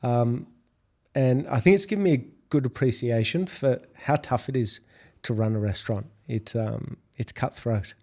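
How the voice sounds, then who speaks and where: Australian man, middle-aged, warm mellow tone, measured business interview answers. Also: mid-range voice. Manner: measured, repeats words when restarting. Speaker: podcast guest, food delivery executive.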